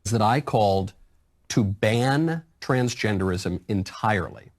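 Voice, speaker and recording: A man with a fairly low voice delivers a speech to a conference crowd. He talks firmly and declaratively, stating each point flatly. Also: slow pace. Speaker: political speaker.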